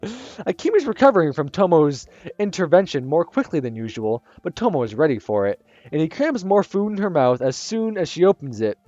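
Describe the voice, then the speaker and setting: Calm male narrator reading game text, higher voice, dry close sound. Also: fairly quick, no room sound, muffled recording, soft background music. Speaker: game streamer. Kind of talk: reading visual novel narration aloud.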